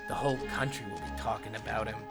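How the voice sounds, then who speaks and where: A man's voice: lowish voice, steady tone, fast talker, loud music behind the voice, lightly acted. A man voicing a deceptively humble, shrewd middle-aged detective in a comic fandub, with a folksy, rambling, bumbling manner. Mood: feigned admiration.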